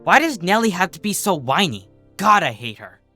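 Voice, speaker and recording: A man's voice: high voice, big pitch swings, moderate pace, sharp tone, soft background music, voice pushed up, heavily acted. A man voicing a brash, defensive, teasing young female athlete pony with casual, slangy, huffy delivery. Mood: eager, blunt.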